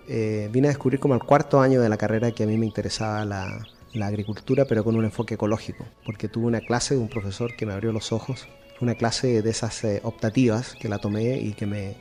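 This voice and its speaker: A man's voice: fairly low pitch, warm tone, long reverb tail. A middle-aged man explains things didactically as an interview guest, often checking in with the listener. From Chile. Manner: didactic, with rhetorical check-ins. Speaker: interview guest, agronomy expert.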